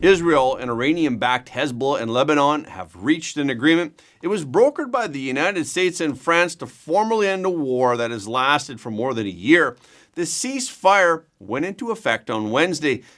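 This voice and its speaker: A man anchors a scripted news report in a fairly high voice. His intonation swings widely, and he stresses key words in a measured, emphatic way. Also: sing-song, a bit bright.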